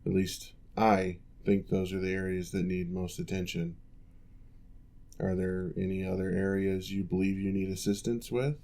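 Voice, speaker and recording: Deep growly orc guy, a man putting it on, ex-general turned physio, calm and patient, dark voice. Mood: reassuring. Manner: calm, measured, patient instructions. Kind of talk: ASMR roleplay.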